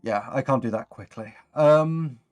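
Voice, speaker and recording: A man's voice: mid-range voice, unhurried, darkish tone, soft background music. A man chatting casually and encouragingly as he hosts a worldbuilding livestream. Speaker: stream host.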